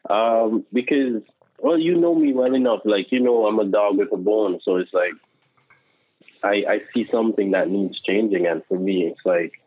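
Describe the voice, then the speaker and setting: A deep-voiced man talks in an earnest, self-assured way, with lots of fillers. He comes through a tinny, phone-like line. The sound is dry, with no room echo. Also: animated, moderate pace. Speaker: guest, social worker. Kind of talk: casual podcast chat.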